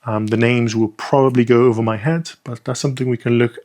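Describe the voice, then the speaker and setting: A man talks through a set of perfume samples in an easygoing, unscripted way. His voice sits in an ordinary middle pitch. He fills pauses with ums and thinks out loud as he goes. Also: fairly even intonation. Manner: chatty, frequent ums, thinking aloud. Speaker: perfume reviewer. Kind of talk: casual first-impressions review.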